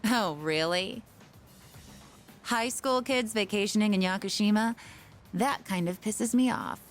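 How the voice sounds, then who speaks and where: Woman acting aloof young woman, blunt, dismissive, video game dialogue, medium pitch, faint music. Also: unhurried, natural voice. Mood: irritated, sarcastic. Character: aloof, prickly young working woman on holiday.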